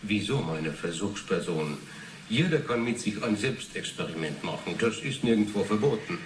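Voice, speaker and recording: A man's voice: on the low side, expressive, normal speed, far from the mic, lightly acted. An actor playing an earnest Finnish doctor in his thirties who lectures and defends himself in a radio drama. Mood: defensive. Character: earnest thirty-one-year-old Finnish neurology doctor under questioning. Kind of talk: 1980s German radio drama acting. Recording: roomy, noisy interrogation recording.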